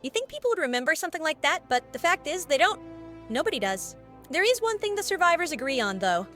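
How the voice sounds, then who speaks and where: An actress playing a brisk, plain-spoken innkeeper with a British accent and a frank, chatty manner in a video game. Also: female voice, high-pitched, very animated, fairly bright tone, faint music behind. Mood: somber. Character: brisk, plain-spoken woman innkeeper running an adventurers' guild. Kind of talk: scripted video game cutscene acting.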